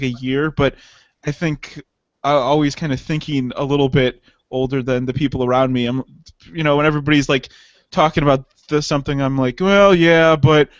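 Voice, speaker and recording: High-voiced young man, dry lo-fi sound. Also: no room sound. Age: 20s. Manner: rambling, laughing, profane. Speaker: podcast co-host. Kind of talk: casual chat.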